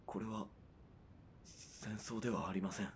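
A man's voice: fairly low pitch, fairly even intonation, a little slow, breathy edge. A man playing a principled, dutiful military police sergeant in a period radio drama, speaking formally, with earnest restraint. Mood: uneasy, restrained.

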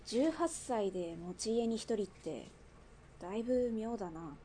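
A woman's voice: medium pitch, unhurried, dark tone, slight background noise, close to an everyday voice. A woman acting in a radio drama as a brash underworld gang boss, with blunt, mannish, teasing speech. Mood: brash, curious.

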